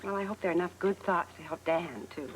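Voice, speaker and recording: A woman's voice: fairly low pitch, fast, close to an everyday voice. An actress playing a concerned, sympathetic woman in a television soap opera, speaking in an earnest conversational way. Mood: sad, concerned. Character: concerned, sympathetic woman chatting about family troubles. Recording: clearly noisy television soundtrack.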